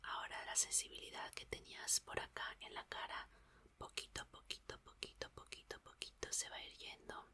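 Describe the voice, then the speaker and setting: A woman doing an ASMR roleplay as a gentle, reassuring scientist, whispering soothingly and softly repeating her words. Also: normal speed. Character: gentle, reassuring female scientist repairing an android.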